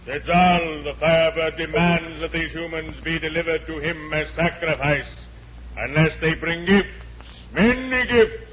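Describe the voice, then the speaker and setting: An actor playing a menacing, pompous fire god who commands sacrifice in 1940s radio drama style. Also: male voice, on the high side, unhurried, fairly bright tone. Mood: imperious. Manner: pompous, imperious proclaiming. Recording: muffled vintage radio, faint noise.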